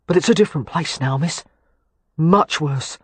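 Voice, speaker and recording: An awkward, bashful young working-class man with a thick South London accent, plain-spoken and hesitant, as a woman narrator puts him on. The voice is breathy and sits higher and a touch louder than her narration. It is recorded dry and close, as in a storybook reading. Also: normal speed, dry recording. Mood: earnest.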